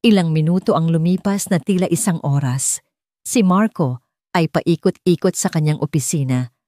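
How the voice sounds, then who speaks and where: A Filipino woman narrates a dramatic audiobook story. Her voice sits low, and the recording is dry and close, with scripted phrasing throughout. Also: normal speed, dark tone, dry recording.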